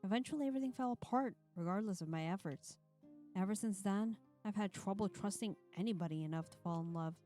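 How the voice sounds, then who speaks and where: A woman streamer with a mid-pitched voice reads a visual novel aloud and slips into casual banter. She sounds somewhat tired, and soft game music plays behind her. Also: fast talker.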